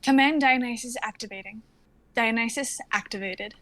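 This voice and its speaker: A woman playing an impassive female laboratory computer in a live drama reading, speaking in flat, measured announcements. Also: fairly high pitch, no room sound, natural voice, poor audio.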